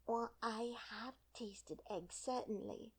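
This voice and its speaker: A woman's voice: fairly high pitch, takes it slowly, slightly rough, voice pushed up. A woman voicing an earnest, polite little girl who grows indignant and flustered.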